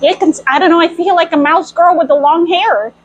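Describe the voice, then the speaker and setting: A woman's voice: very high voice, brisk, highs cut off. A woman doing a storytime in a childlike, playful manner, role-playing as a cartoon mouse girl. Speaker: storyteller in costume. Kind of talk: in-character storytime reading and chat.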